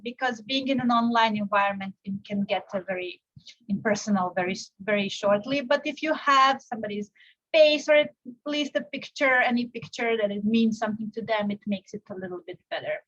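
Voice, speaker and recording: A young woman from Serbia giving a live online software tutorial, explaining step by step with frequent fillers and restarts. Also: a little high, normal speed, muffled recording. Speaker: teacher presenting a software tutorial. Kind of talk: live tutorial with screen share.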